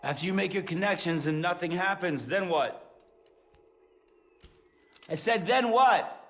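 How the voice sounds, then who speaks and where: A sceptical game hero with a high-pitched voice. He is terse and challenging. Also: expressive, a little slow, fairly bright tone, exaggerated voice. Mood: challenging, insistent. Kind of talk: scripted game dialogue.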